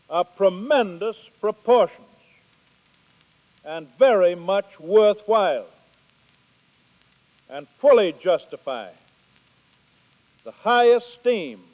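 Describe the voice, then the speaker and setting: An American man giving a formal, deliberate 1930s radio address as a Scouting executive on an old archive recording. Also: high-pitched, long reverb tail, muffled recording.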